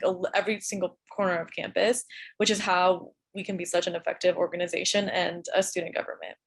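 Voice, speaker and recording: A young woman from Atlanta introduces herself on a video-call panel. Her pitch sits in the middle range, and her speech is halting, with frequent fillers and restarts. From Atlanta, Georgia. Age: young adult. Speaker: student government president.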